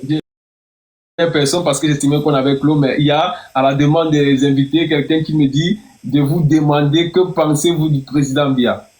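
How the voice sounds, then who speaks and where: A man's voice: on the high side, fairly even intonation, moderate pace, a little mellow, reverberant, poor audio. A man hosting an online talk show, polite and hesitant, searching for his words. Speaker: host.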